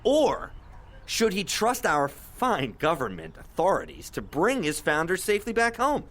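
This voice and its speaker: A man's voice: high voice, wildly swooping pitch, unhurried, a bit bright, full character voice. An actor playing a brash, irreverent internet radio host with a sardonic, punchy showman's delivery in an audio drama. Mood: sardonic, showy. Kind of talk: audio drama acting as live call-in radio show.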